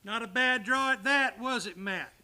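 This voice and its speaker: A loyal, hot-headed cowboy acted by a man in a Western radio play. His high voice is extremely bright and forceful, with folksy, emphatic phrasing. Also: normal speed, exaggerated voice. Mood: wry. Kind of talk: Western radio drama acting.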